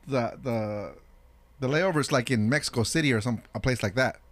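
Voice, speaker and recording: A man's voice: mid-range voice, normal speed, dry recording. A man from the United States hosting a podcast in casual chat, putting questions informally and often rephrasing himself. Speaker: podcast host.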